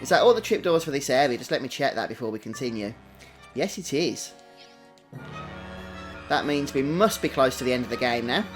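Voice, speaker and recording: A man's voice: medium pitch, expressive, brisk, pauses often, over music. A man with a British accent giving casual live gameplay commentary, chatting with viewers as he hosts a stream. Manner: chatty, greets viewers individually. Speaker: game livestream host.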